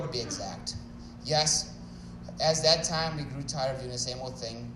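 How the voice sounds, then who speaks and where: A man's voice: on the high side, recorded from a distance, background noise. Joking young Chicago man in echoey hall. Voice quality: mumbles a little. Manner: joking, self-deprecating, nervous at first. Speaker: wedding speaker. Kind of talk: wedding toast. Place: wedding reception hall.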